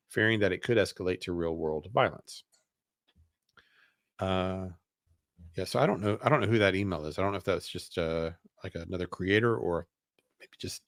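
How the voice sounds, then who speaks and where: A man's voice: low-pitched, fairly bright tone, no echo, studio quality. A man hosting a show, reading documents aloud and adding casual commentary with hesitant asides. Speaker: show host.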